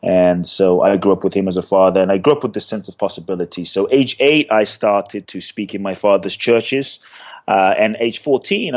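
Guest: earnest man, lower voice, emphatic repetition, reflective storytelling. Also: a little mellow. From born in Ghana, grew up in London. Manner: earnest, repeats phrases for emphasis. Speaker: guest, speaker and teacher. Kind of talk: personal story in a podcast interview.

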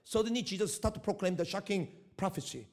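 A middle-aged man with a Korean accent, whose first language is Korean, preaching a sermon in halting English with restarts and rising emphasis. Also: high voice, expressive, darkish tone. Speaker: pastor, missionary.

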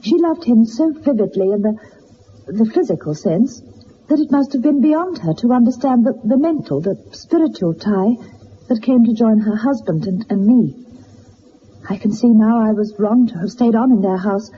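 A woman plays a distressed young governess in a detective radio play. Her voice is fairly high, her manner earnest, formal and hesitant. The sound is dull and noisy. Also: lively intonation, normal speed, warm tone, natural voice. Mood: earnest, pained.

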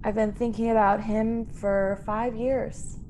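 An actress playing a frightened young woman stowaway in a science fiction radio drama, halting and emotional. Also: a little high, flat delivery, a little mellow, slight background noise. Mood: wistful, subdued. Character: frightened young female stowaway, pleading, naive and wistful. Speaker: actor in a radio play.

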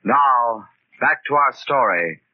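A man narrating a 1940s radio drama from a script in a dramatic, deliberate way. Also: mid-range voice, expressive. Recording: dull 1940s radio recording, loud music behind. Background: loud music.

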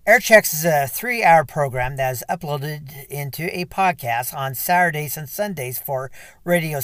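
A man's voice: a little high, fairly bright tone. A man speaking as a radio show host, reading a scripted introduction to his program.

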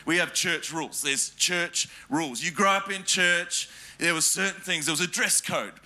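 An Australian man preaching to a church congregation. His voice sits high and rings very bright. He throws questions at the crowd and mixes jokes into his stories. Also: moderate pace. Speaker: pastor. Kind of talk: sermon with personal stories. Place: church hall before a congregation.

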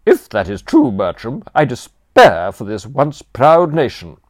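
A man's voice: mid-range voice, huge pitch swings, unhurried, a little mellow, dry recording, clean studio sound, pitched above the performer's own voice. A man voicing a stern, formidable elderly aunt who speaks with grand, clipped disapproval. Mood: appalled.

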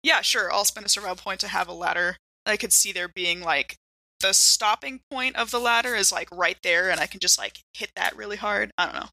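A woman talks casually as a player in a tabletop game, working out her moves aloud. Her voice is mid-pitched and notably bright. It is recorded dry and close, without room echo. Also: a little fast, creaky. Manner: thinks aloud with frequent "like". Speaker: player in an actual-play podcast. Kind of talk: casual chat, improvised game description.

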